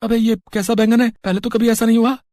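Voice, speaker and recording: Actor as excitable poor village lad. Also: medium pitch, fast, no room sound, studio quality, close to an everyday voice. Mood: alarmed. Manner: stammering when flustered. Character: poor, hungry, easily startled young male villager. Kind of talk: animated moral story dialogue.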